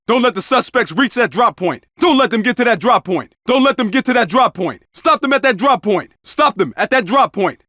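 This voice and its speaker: A man's voice: very high-pitched, sharp tone, no echo, clean studio sound, full character voice. A man playing a tough undercover police officer in a video game, barking urgent, clipped orders and combat callouts.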